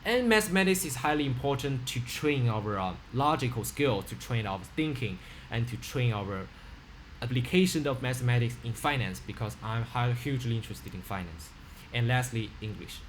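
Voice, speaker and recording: A man's voice: mid-range voice, animated, normal speed, slight background noise. A teenage boy from China with a Chinese accent, giving an earnest self-introduction over a webcam from a small room, with many fillers and restarts. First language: Mandarin. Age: teens. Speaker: student. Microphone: webcam on a video call.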